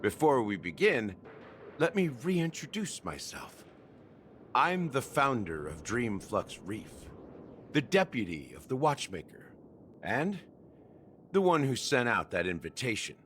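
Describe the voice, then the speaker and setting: An actor playing a wry, mysterious middle-aged mastermind in a video game, speaking like a deliberate, teasing storyteller. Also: male voice, medium pitch, huge pitch swings, a bit bright, a little hiss or hum. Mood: theatrical, grave. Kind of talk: dramatic video game voice acting.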